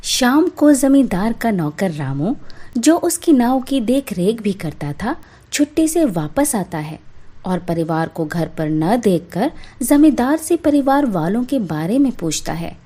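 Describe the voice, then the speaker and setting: A woman's voice: a little high, sing-song, unhurried, mellow, breathy edge. A woman narrating a scripted moral tale as a storyteller for children.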